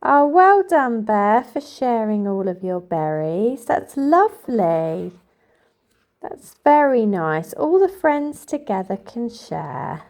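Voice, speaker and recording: A woman reads a picture book aloud for small children. Her voice is fairly high and moves at a slow, measured pace. The delivery is expressive and sing-song, with big swings in pitch. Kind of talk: read-aloud storytelling for toddlers.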